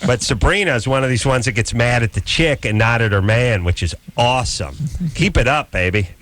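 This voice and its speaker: A man's voice: medium pitch, expressive, brisk, fairly bright tone, slight background noise. A middle-aged man hosting a late-night call-in radio show, riffing with crude jokes. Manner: riffing, crude, joking rants.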